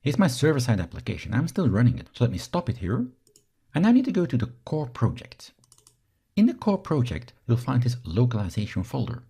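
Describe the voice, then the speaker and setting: A man from Belgium, a native Dutch speaker, explains each step as an instructor in a software screencast tutorial. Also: medium pitch, wildly swooping pitch, fairly quick, slightly rough.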